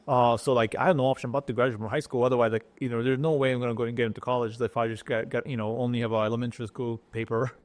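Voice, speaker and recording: A middle-aged man from Japan with a Japanese accent, a chef talking as a guest in an interview, pausing often and restarting his sentences. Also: mid-range voice, level tone. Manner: halting, many fillers and restarts. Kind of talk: casual interview talk.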